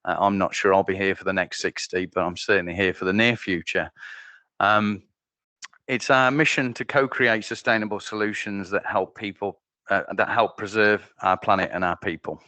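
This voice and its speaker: A man giving a conference presentation as a company managing director, loud and joking at his own expense. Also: sits low, steady tone, moderate pace, no room sound. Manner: loud, jokey and self-deprecating. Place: conference venue.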